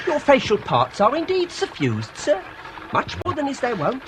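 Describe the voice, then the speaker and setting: An actor playing a deferential, wordy Victorian detective's assistant with a British accent, prim and fussily formal, in a comic period radio drama. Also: male voice, very high voice, wildly swooping pitch, moderate pace, background noise, exaggerated voice. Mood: primly deferential.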